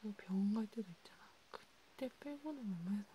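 A woman whispering softly in a casual, hesitant, gently caring chat for an ASMR roleplay. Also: medium pitch, lively intonation, a little fast, slight background noise. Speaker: ASMR video creator.

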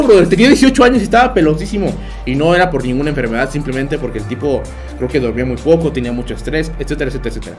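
Young Mexican man laughing on stream. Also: on the high side. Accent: Mexican Spanish. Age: young adult. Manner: laughs often, joking storytelling. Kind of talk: live game stream chat. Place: small bedroom.

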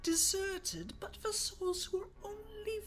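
A woman's voice: very high-pitched, fairly even intonation, slow pace, music in the background. A woman playing a dramatic, wry storyteller, reading scripted narration for a radio play.

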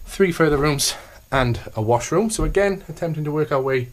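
A man's voice: a little high, animated, normal speed, a little hiss or hum. A man, an estate agent, giving an upbeat walkthrough tour of a house, full of sales patter and repeated "okay" and "fantastic".

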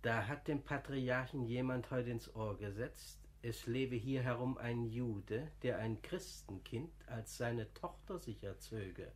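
An actor playing a humble, good-natured older friar in a radio drama, plain-spoken and confiding. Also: male voice, medium pitch, slow pace, few pauses, lightly acted. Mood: earnest recounting. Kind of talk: classical German radio drama acting.

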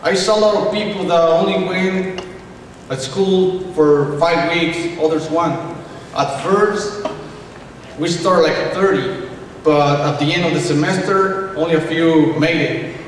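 A young man speaks a little high for a man, earnest and careful. He sounds far from the mic in a very echoey room, with clear ambience behind him. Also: a little slow, a little hiss or hum. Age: young adult. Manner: careful, earnest, with some restarts. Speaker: English language student giving a speech. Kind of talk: prepared speech. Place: small classroom indoors, at night. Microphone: room microphone at a distance. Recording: echoey room, picked up from afar.

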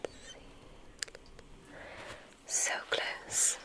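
A woman whispering gently and soothingly in an ASMR roleplay as a kindly witch examiner, breathy and unhurried. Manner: gentle, unhurried, soothing, theatrical word choice with soft repetitions. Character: kindly witch medical examiner at a magic school. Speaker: ASMR performer playing a witch doctor.

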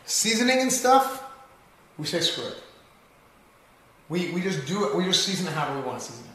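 A man's voice: sits high, sing-song, fairly quick, fairly bright tone, slight background noise. A young man from Texas, bantering loosely while cooking with friends in a large home kitchen. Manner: joking, loose, talks over others. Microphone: camera mic across the room. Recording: echoey kitchen with crowd chatter.

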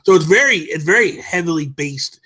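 A middle-aged man co-hosting a podcast, chatting casually about games and explaining in an animated, colloquial way. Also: fairly high pitch, brisk, breathy edge. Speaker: podcast co-host. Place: small room at home. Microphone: desk microphone on a video call, headphones.

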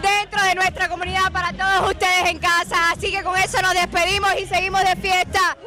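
A woman's voice: very high-pitched, little pitch movement, brisk, a crowd in the background. A young woman hosting an outdoor event interview, speaking in an effusive, enthusiastic way with music behind her. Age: young adult. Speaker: TV show host and interviewer. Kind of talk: upbeat on-location event interviewing. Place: outdoors at a night park festival. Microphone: handheld microphone.

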